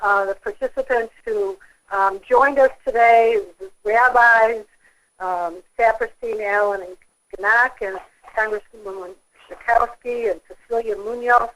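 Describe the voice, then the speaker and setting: An American woman giving a prepared speech as an organization leader over a telephone conference line, with a halting, hesitant delivery. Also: a little high, steady tone, a bit bright, poor audio.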